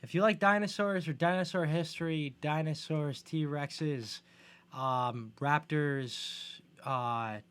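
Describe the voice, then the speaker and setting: A man with a fairly high voice chats casually as a podcast co-host. He is informal and agreeable, often chiming in with short interjections. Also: takes it slowly, fairly bright tone, clean tone. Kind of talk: casual chat.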